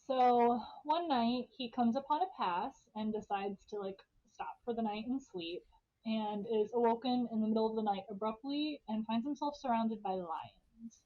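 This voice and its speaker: A woman's voice: on the high side, moderate pace, warm tone, lo-fi sound. A woman hosting a podcast, retelling a story in a casual, irreverent way with slang and swearing. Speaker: podcast host telling a story.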